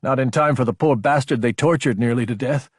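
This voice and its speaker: A man's voice: medium pitch, fairly quick, darkish tone, husky, dry recording, clean studio sound. A man voicing an intense, anguished young military officer in an audiobook, insubordinate and emphatic. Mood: bitter, angry. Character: young male military officer, fierce, anguished, self-doubting. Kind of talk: audiobook character acting.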